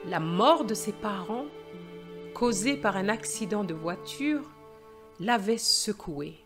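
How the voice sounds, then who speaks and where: A woman with a mid-range voice speaks slowly and deliberately, like a teacher reading a story to learners. Her delivery is expressive, with careful phrasing that is easy to follow. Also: medium pitch, over music. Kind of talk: slow, clear story reading for language learners.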